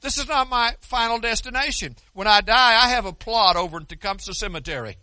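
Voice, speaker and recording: An older American man preaching with a high, piercing, very bright voice. He speaks earnestly and conversationally, breaking off and restarting sentences. The sound is dry and close, with no room echo. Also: lively intonation, brisk. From United States. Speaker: pastor. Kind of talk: sermon.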